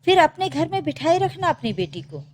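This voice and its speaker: A woman's voice: high voice, big pitch swings, moderate pace, slight background noise, pitched above the performer's own voice. A woman voicing an angry, possessive young husband, defiant and shameless, in a dramatic story. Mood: threatening.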